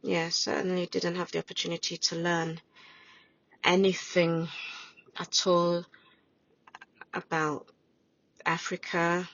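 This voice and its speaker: A woman speaking in a slow, flat, subdued manner as an interviewee. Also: fairly low pitch. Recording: dull lo-fi sound.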